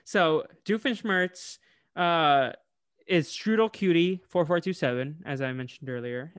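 A man co-hosting a podcast, recapping a cartoon episode in casual chat, animated and laughing often. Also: fairly high pitch, measured pace, smooth, no room sound, clean studio sound. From United States. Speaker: podcast co-host.

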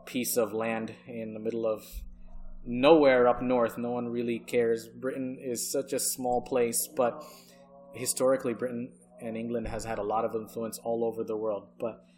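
An American man with an ordinary mid-range voice shares his life story in a podcast interview. He speaks earnestly and leans on his points, often pausing to check in with the listener. A faint hiss runs quietly behind him. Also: medium pitch. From United States. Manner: earnest and emphatic, often checking in with "okay" and "right". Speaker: Islamic scholar guest.

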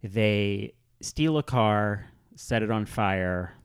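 A man co-hosting a casual pop culture chat, speaking in a halting way peppered with "like". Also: fairly low pitch, smooth. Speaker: podcast co-host.

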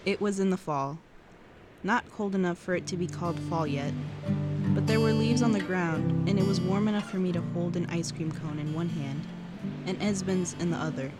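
A wistful seventeen-year-old girl, played by a woman, confides in an audio diary for a podcast drama. Her voice sits on the low side, reflective and a little awkward, with hesitant self-corrections. Guitar music plays clearly behind her. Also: moderate pace, close to an everyday voice. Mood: wistful, nostalgic. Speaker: actor in a fiction podcast. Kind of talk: intimate first-person cassette diary narration. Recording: music under the voice.

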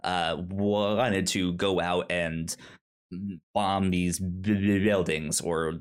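A man with a fairly low voice co-hosts a casual podcast chat about films. He talks loosely, tripping over words, stammering and restarting his sentences as he thinks out loud. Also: steady tone, extremely slow, clean tone. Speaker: podcast co-host.

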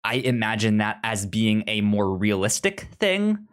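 A man's voice: fairly low pitch, measured pace, smooth. A man hosting a podcast in casual, jokey chat, often stammering and repeating words as he talks. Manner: animated, jokey, chatty. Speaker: podcast host.

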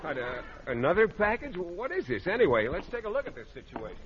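A man's voice: high-pitched, big pitch swings, fairly quick, crowd noise. A man playing an earnest, bashful, oblivious biology teacher with hesitant, eager delivery in an old radio sitcom. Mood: puzzled. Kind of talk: vintage radio sitcom acting. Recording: noisy muffled old radio broadcast.

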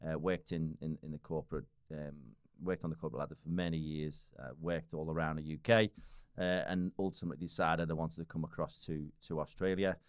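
Liverpool man, forties, podcast guest; very low, quick, rambling with restarts, dry close sound. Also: flat delivery, clean tone, no echo. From Liverpool, England. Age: 40s. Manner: rambling, many restarts and fillers, swears casually. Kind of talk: casual podcast conversation.

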